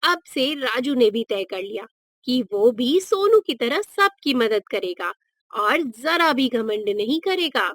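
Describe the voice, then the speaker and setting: A woman's voice: fairly high pitch, sing-song, slow, fairly bright tone, dry recording, clean studio sound. A woman narrating a scripted moral story for children as a storyteller.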